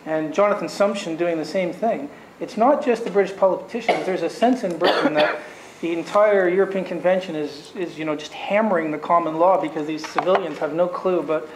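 A man's voice: a little high, brisk, background noise. A man on a conference law panel, talking bluntly and emphatically and firing off rhetorical questions. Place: conference room. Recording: roomy echo.

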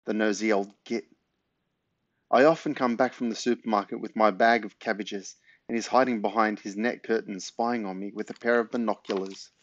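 A man's voice: lowish voice, steady tone, normal speed, no room sound, lo-fi sound, lightly acted. A man voicing a feisty old granny in a storybook, bossy and full of indignation. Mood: grumbling.

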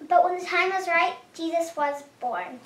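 A woman's voice: extremely high pitch, normal speed. A woman voicing an eager, cheeky little girl puppet in a children's show, childlike and full of exclamation. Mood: curious, cheeky. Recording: roomy echo, distant microphone.

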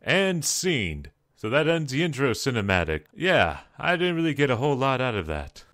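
A man's voice: mid-range voice, big pitch swings, normal speed, fairly bright tone. An American man giving sarcastic, wisecracking commentary over a video game, with theatrical exclamations. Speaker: let's play host. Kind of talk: comedic gameplay commentary.

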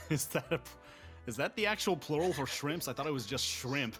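A man's voice: on the high side, animated, moderate pace, a bit bright, soft background music. A man chatting casually in a group game, hesitant as he thinks aloud. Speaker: player in a word game. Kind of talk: casual chat.

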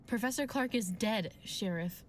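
A woman's voice: mid-range voice, moderate pace, natural voice. A woman playing a sober, earnest female doctor in a 1940s-style radio drama. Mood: grave, pleading. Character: grave, tense female doctor and scientist.

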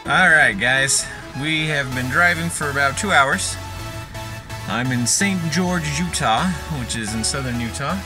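A man's voice: mid-range voice, measured pace, over music. A young man hosting a casual road-trip vlog, talking easygoing and straight to the camera from his car. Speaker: vlogger host. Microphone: camera mounted in car, facing him.

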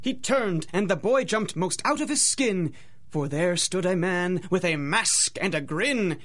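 A woman's voice: fairly low pitch, animated, measured pace. A woman narrating a scripted rhymed adventure story, with a dramatic, singsong rhythm.

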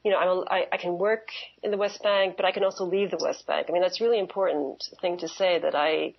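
A woman's voice: fairly low pitch, fairly even intonation, quick pace, vocal fry, slightly rough, muffled recording. A woman in her forties from Canada and Kuwait, answering interview questions conversationally in a hesitant, reflective way, often rephrasing as she goes. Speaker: interview guest, museum professional.

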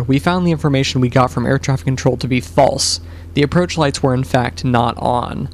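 Male pilot narrator, quick explanatory voice-over, mid pitch, faint hum behind.

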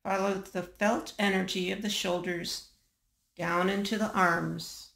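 A woman guiding a body scan meditation in a calm, measured manner, leaving long pauses between short phrases. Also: medium pitch, gravelly, echoey room. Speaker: meditation guide.

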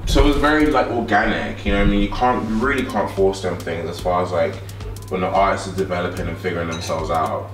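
A young man talks in a laid-back, rambling way, full of fillers. His low voice sounds a little distant. The kitchen has a roomy echo, with faint music behind him. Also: fast talker. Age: young adult. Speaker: guest, music producer. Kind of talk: casual chat. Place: home kitchen. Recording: echoey kitchen, mic at a distance.

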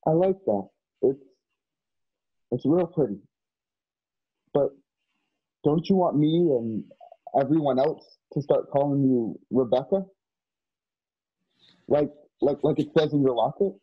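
A man's voice: mid-range voice, takes it slowly, pauses often, slightly rough, lo-fi sound. A man playing an earnest, loyal and naive young companion in a western radio play, with hesitant, stammering delivery. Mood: shy, sincere. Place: home, on a group video call.

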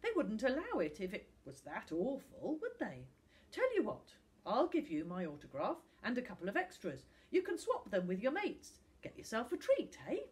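A woman's voice: a little high, wildly swooping pitch, moderate pace, a little mellow, pitched above the performer's own voice. A woman voicing a kindly, easygoing man, a star footballer, speaking casually in an audiobook. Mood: placating. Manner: casual, placating. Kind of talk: audiobook character acting.

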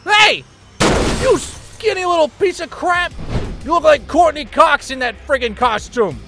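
An actor playing a brash, chatty city motorist in a comic dub, with a gravelly, wheedling delivery. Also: male voice, very high-pitched, unhurried, loud music behind the voice. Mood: outraged. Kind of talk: comic dub character acting.

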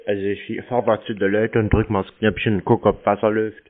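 A man chatting casually on a call, teasing in a deadpan way. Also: fairly low pitch, brisk. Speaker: prank call recipient, scam baiter. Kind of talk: casual phone conversation. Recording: slightly muffled call recording.

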